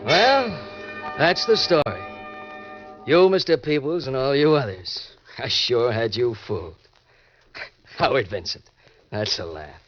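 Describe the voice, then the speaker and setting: A man's voice: mid-range voice, a little hiss or hum, close to an everyday voice. An American actor playing a desperate, tough-talking male impostor who narrates his crime in melodramatic 1940s radio style. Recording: dull, lo-fi old radio recording.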